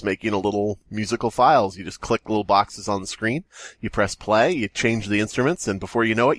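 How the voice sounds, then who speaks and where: Animated man chatting casually, dry sound. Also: on the low side, no echo. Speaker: podcast co-host. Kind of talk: casual joking chat.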